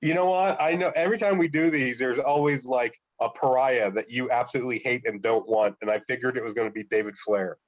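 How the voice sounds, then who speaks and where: A man's voice: mid-range voice, a little fast, few pauses. Stuffy-nosed man on a dull recording. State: stuffy from a cold. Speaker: podcast co-host. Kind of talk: casual podcast chat.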